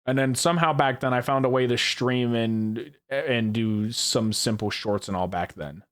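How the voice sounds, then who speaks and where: A man's voice: medium pitch, moderate pace, a bit bright, clean tone. A man in his early twenties hosting a casual chat with friends, rambling, repeating himself and swearing freely. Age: early 20s. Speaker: podcast host.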